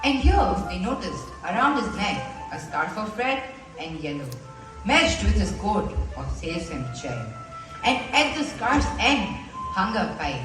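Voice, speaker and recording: A woman's voice: medium pitch, sing-song, measured pace. A female student performing as the narrator of a stage play, telling the tale in dramatic, poised verse. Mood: dramatic storytelling. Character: theatrical storybook narrator, descriptive and poised. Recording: echoey school hall from far, music behind.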